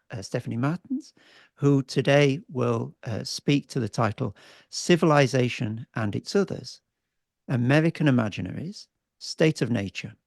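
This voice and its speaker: A man introduces a guest speaker at an academic seminar, full of praise and stopping often on fillers. His voice is gravelly and the sound is dry, heard over a video call. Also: medium pitch, no room sound. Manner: warm praise, many fillers and restarts. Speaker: seminar host.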